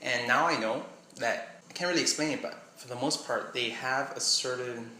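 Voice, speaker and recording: A man's voice: medium pitch, normal speed, pushed and bright, reverberant, slight background noise, rough recording. A young man from Miami with a slight Miami accent, chatting casually in a vlog. He rambles and trails off with "I don't know.". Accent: slight Miami English. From Miami, USA. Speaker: vlogger. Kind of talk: casual vlog chat, short read-aloud passage.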